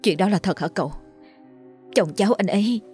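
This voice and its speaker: A woman voicing a frightened young mother, with a high, quavering and pleading voice. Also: fairly quick, warm tone, faint music behind, lightly acted. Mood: polite.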